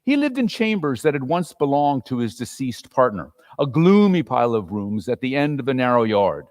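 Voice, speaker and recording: A man gives a theatrical reading of a classic tale to a room. His mid-pitched voice swings widely and expressively, carried by the long reverb of a hall. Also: big pitch swings, moderate pace, a little mellow. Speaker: narrator and performer. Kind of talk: dramatic reading of a classic story.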